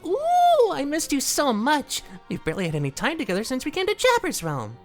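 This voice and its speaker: A woman voicing a giddy, clingy, teasing male jester in an audio drama, squeaky, sing-song and theatrical. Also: wildly swooping pitch, brisk, soft background music. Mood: gushing excitement. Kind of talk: animated audio drama character acting.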